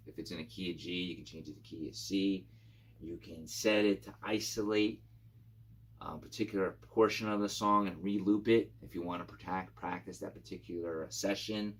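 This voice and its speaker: A man with a very low voice talks casually, like a host walking viewers through equipment. His delivery is informal and a bit halting. There is some faint noise behind him. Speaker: YouTube channel host. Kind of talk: casual gear overview for guitarists.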